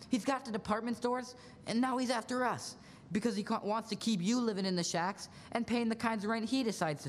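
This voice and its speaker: A young man acting an earnest, halting, pleading young loan-office manager in a 1940s-style radio play. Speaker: student actor in a school radio play. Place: large school auditorium stage. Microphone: stand microphone. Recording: echoey auditorium with steady rumbling noise.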